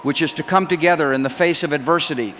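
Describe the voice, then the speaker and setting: Male actor as crisis-time president: resolute oratory, slightly high male voice, faint background ambience. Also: a bit bright, a little hiss or hum, close to an everyday voice. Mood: resolute, solemn. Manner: oratorical, deliberate repetition. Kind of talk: formal televised political address.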